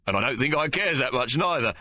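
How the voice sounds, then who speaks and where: A man voicing a cocky, uneducated Victorian policeman with rough, ungrammatical speech. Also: medium pitch, expressive, fast, pushed and bright, no echo, pitched above the performer's own voice.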